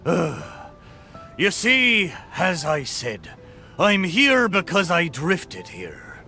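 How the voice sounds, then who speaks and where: Game casting: living pirate ship, bright high voice, swooping delivery, hearty and boastful, theatrical buccaneer speech. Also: male voice, huge pitch swings, drawn-out words, soft background music, full character voice. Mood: wistful, earnest. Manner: theatrical pirate talk, exclamatory. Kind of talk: video game character acting.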